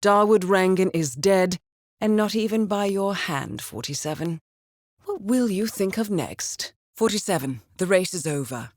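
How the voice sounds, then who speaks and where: A woman playing a composed, dry-witted intelligence handler in a video game, speaking in a measured, formal, understated way as she briefs an assassin. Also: medium pitch, animated, a little mellow, no room sound. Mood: dryly amused. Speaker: mission handler. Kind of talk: scripted video game voice acting, mission briefing.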